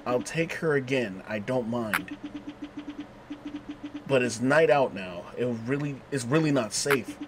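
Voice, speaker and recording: A man voicing a gentle, caring partner in a horror game, reading softly and in a subdued manner. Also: noisy background, lightly acted. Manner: soft, low, subdued reading.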